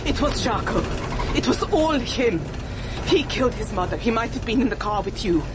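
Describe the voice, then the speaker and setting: A woman's voice: a little high, lively intonation, normal speed, very noisy, natural voice. An actress playing a bitter, desperate woman confessing a crime in a radio drama, agitated and self-justifying. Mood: desperate, insistent. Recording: dramatic music under the voice.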